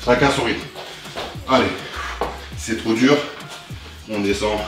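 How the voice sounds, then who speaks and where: Low-voiced man coaching, very echoey room. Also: fairly even intonation, takes it slowly. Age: young adult. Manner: motivating, exertive talk while exercising. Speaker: fitness coach. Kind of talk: workout instruction, counting and cheering on. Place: living room, indoors. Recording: very echoey room, distant mic, music behind. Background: clear music.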